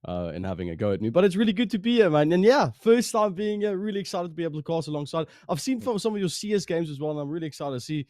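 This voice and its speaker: A man from South Africa with a South African accent, co-casting an esports broadcast in a relaxed, somewhat hesitant way. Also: fairly high pitch, racing, hardly any pauses, dry recording, clean studio sound. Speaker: esports co-caster.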